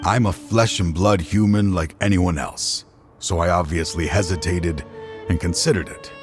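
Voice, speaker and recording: A man playing a remorseful, resolute father and dying official in a video game, speaking gravely and haltingly. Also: sits low, natural voice. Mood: grave, anguished. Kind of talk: dramatic video game dialogue. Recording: game music behind the voice.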